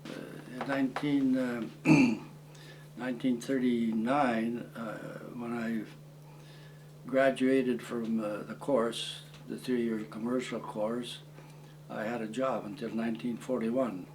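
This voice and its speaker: A man in his eighties, originally from Croatia, recalling his past in an oral history interview, speaking haltingly with many fillers and restarts. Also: medium pitch, steady tone, noisy background. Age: 80s. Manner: plain, matter-of-fact storytelling. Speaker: war veteran interviewee.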